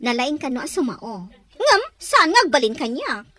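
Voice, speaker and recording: A sweet-talking young schemer in an Ilocano radio drama. Her voice is very high and swoops expressively, coy in manner and Ilocano in accent. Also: big pitch swings, moderate pace, pushed and bright, a little hiss or hum, exaggerated voice. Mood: scheming.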